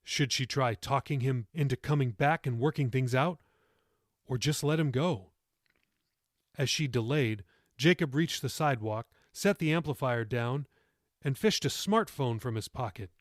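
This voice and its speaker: A man's voice: mid-range voice, unhurried, dry recording, clean studio sound. A man reading his own novel aloud as audiobook narration, with dramatic storytelling delivery.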